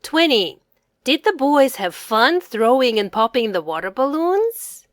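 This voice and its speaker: A woman presenting a quiz for young children, asking questions and answering them in a deliberate, carefully enunciated, teacherly manner. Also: high-pitched, big pitch swings, dry recording, clean studio sound.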